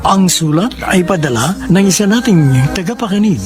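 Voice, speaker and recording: A man makes a scripted radio announcement as presenter. His voice is high and swings expressively, with music clearly underneath. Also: animated, normal speed.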